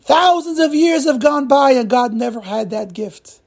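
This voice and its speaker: A man acting a fervent holy sage, pitched high. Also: lively intonation, creaky, exaggerated voice. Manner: impassioned, drawn-out delivery. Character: holy rabbi sage delivering a grave revelation.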